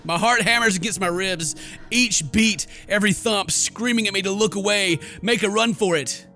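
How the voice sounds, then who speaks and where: A theatrical, excitable man streaming a horror game, switching between dramatic read-aloud and jokey casual commentary. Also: high-pitched, moderate pace. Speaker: gaming streamer. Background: game music.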